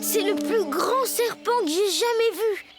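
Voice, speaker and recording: A woman's voice: very high voice, a little slow. A woman voicing a young jungle boy in a French cartoon dub, earnest and caring. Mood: concerned. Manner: earnest, concerned. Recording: music under the dialogue.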